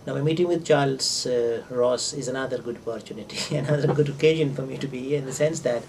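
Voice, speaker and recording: An older man with an Indian accent and Urdu as his first language, giving an informal literary lecture in deliberate phrases with hesitations and repetitions. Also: mid-range voice. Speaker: English professor, visiting scholar. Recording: distant microphone with clear background noise.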